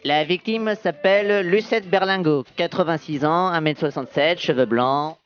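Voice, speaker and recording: Man playing high-voiced, nervous young-sounding cop. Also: moderate pace, highs cut off, close to an everyday voice. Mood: apologetic, dutiful. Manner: deferential, hesitant. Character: nervous, apologetic police officer guarding crime scene. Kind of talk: comedic audio drama acting.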